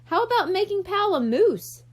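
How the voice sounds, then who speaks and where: A woman plays a playful, scheming young boy in a children's audiobook. He is keen and cheeky, high-pitched and bouncing in tone. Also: very animated, normal speed.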